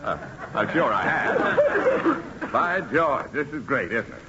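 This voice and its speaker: Hearty, bluffing chum, very animated. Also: male voice, on the high side, slow pace, exaggerated voice. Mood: nostalgic, bluffing. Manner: hearty, gushing, stammering. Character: effusive, bluffing man greeting a supposed old school chum. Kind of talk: 1940s radio comedy acting. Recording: muffled 1940s radio recording, faint noise.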